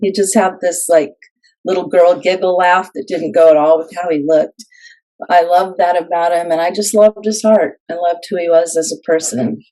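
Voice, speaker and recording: A woman with a slightly low voice talks as a guest in a casual interview. She speaks earnestly and plainly, with some fillers as she gathers her thoughts. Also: steady tone, normal speed, dark tone, rough recording. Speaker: guest, author.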